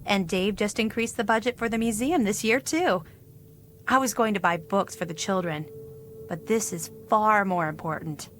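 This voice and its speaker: A woman's voice: mid-range voice, normal speed, a bit bright, lightly acted. An actress playing a friendly, earnest woman who proudly runs a small museum in a video game. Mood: welcoming. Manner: welcoming, earnest. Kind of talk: video game character dialogue.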